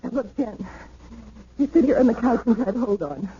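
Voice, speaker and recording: An actress playing a stern, worried sister in a 1970s radio drama, on a muffled vintage broadcast. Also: female voice, fairly high pitch, lively intonation, moderate pace, noisy background, lightly acted. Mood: firm, hushing. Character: stern, worried sister trying to keep control.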